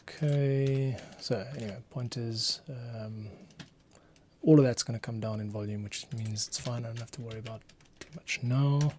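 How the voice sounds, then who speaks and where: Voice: mid-range voice, steady tone, moderate pace, dark tone, a little hiss or hum. A composer thinking aloud casually, muttering a self-directed running commentary while working.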